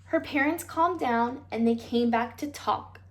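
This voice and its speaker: A woman reading a children's picture book aloud in an expressive, animated storytelling manner with emphatic phrasing. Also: on the high side, unhurried. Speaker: storybook reader.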